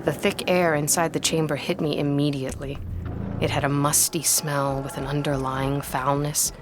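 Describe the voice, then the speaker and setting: An actress playing an uneasy woman of a gothic household, speaking formally and with measured suspense in an audio drama, over music. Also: low-pitched, level tone, noisy background, natural voice. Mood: hushed dread. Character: haunted gothic household woman recounting a ghostly secret, uneasy. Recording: dramatic music bed behind voice.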